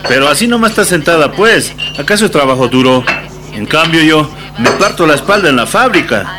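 A man's voice: a little high, animated, brisk, noisy background, close to an everyday voice. A man playing a demanding, dismissive machista husband in a radio drama, with a Bolivian accent and a complaining manner. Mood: irritated, dismissive. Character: grumbling, demanding machista working-class husband. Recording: music and clinking dishes behind.

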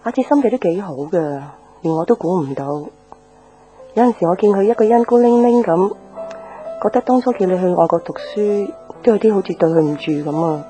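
An actress plays a caring older Hong Kong mother in a Cantonese radio drama. Her voice is soft-edged and mellow, and she nags gently in a homely, thrifty way, with faint music underneath. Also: mid-range voice, expressive, a little fast, close to an everyday voice, rough recording. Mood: caring, chatty.

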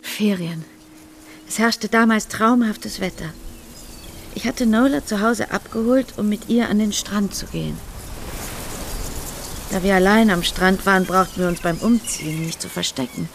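An actress playing a reserved middle-aged small-town shopkeeper in a German radio drama, measured and reticent as she recollects. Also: medium pitch, creaky, a little hiss or hum, natural voice. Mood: recollecting.

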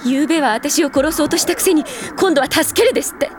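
An actress playing a poised, weary woman, a troubled team leader, in a measured Japanese anime audio drama style. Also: sits high, crowd noise, lightly acted. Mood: guarded, defiant. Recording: echoing studio sound, loud music behind.

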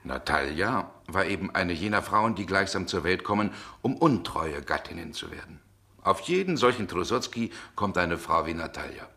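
An actor playing a brooding, world-weary middle-aged gentleman in a 1960s German radio drama, with genteel, measured diction. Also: low-pitched, lively intonation, creaky, full character voice. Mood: wry, lecturing.

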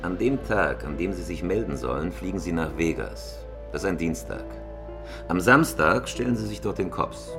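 A man's voice: deep voice, lively intonation, moderate pace, over music. A man playing a cool, calculating career criminal in a crime radio drama, curt, commanding and matter-of-fact.

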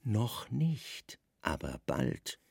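A secret service chief in a radio drama, guarded and cunning. His voice is husky with some fry, close and dry. He answers calmly, with careful deference. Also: on the low side, very slow, dry recording, exaggerated voice. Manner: calm, discreet, deferential. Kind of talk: radio play dialogue.

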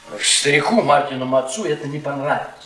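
Radio drama: mocking middle-aged academic, tipsy and bitter, high-pitched delivery, recorded at a distance. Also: male voice, animated, racing, bright, forceful tone. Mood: wry, ironic. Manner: sardonic, drawling, needling questions. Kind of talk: Russian radio theatre acting. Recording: echoey stage-like room, distant mic.

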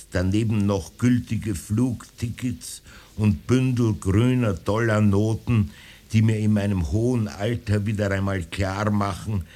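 A male actor performs an old man delivering a radio-play monologue in a dark, mellow, fairly low voice. He unspools long sentences very slowly and evenly measured, treating his absurd apocalyptic visions as dry matter of fact. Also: lightly acted.

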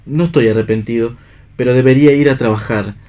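An actor as a cynical, philosophizing husband. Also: male voice, mid-range voice, fast talker, mellow, natural voice. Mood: somber irony. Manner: literary, ironic, argumentative. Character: cynical, pipe-smoking husband, disillusioned and philosophical unbeliever. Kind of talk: staged radio play reading.

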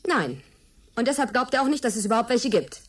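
A woman's voice: fairly high pitch, very animated, fairly quick. An actress in a German radio drama playing a guarded, defiant woman under questioning, curt and indignant.

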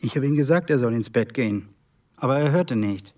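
An actor playing a sullen, weary husband and secret agent in a German radio play, terse and grumbling. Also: male voice, medium pitch, animated, fairly quick, warm tone, distant mic, natural voice.

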